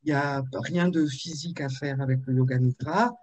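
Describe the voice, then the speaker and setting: A woman in her fifties from Marseille, telling her life story in a video-call interview, with frequent pauses and fillers. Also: extremely low pitch, darkish tone, lo-fi sound, a little hiss or hum. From Marseille, France. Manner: hesitant, with many fillers and restarts. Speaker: author and interview guest.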